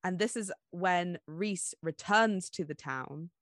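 A woman's voice: on the low side, slow, no room sound, clean studio sound. A woman co-hosting a podcast, chatting casually about books. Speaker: podcast co-host. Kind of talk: casual book chat.

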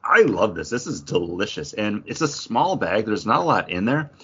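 A man chatting casually as a podcast host, with a playful, enthusiastic manner and a husky voice. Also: lowish voice, sing-song, moderate pace, sharp tone, dull audio. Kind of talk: casual joking podcast chat.

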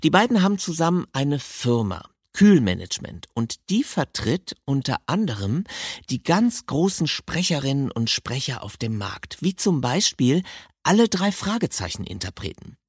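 A man's voice: medium pitch, very animated, normal speed, breathy edge, no echo, clean studio sound. A man hosting a chatty interview podcast, speaking informally and with enthusiasm. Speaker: podcast host. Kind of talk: chatty interview podcast talk about audiobooks. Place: recording studio in Hamburg. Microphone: close studio microphone.

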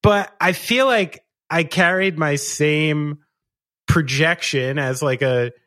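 A New man, chatting casually as a podcast co-host. His voice sits slightly high and the sound is close and dry, with no room in it. He wanders through his stories and swears freely. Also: takes it slowly, fairly bright tone, dry recording. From New Jersey. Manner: rambling, profane storytelling. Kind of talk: casual chat.

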